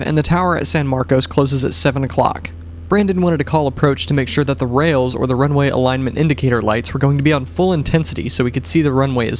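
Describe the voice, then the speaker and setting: A man's voice: medium pitch, slight background noise. A man who pilots small planes, narrating an explanatory voice-over in a vlog.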